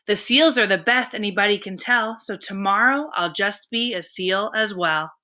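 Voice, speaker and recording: A woman's voice: medium pitch, moderate pace, sharp tone, close to an everyday voice. A woman voicing an eager, boastful male emu from a children's storybook, exclamatory and sure of himself. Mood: determined.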